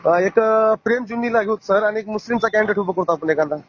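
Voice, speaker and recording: A man's voice: sits high, fast, slight background noise. A man speaks deferentially in an informal phone conversation, as a local party worker would to his seniors. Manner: deferential, frequently addressing the listener as sir. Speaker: local party booth chief seeking a ticket. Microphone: phone call. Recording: muffled recorded phone call.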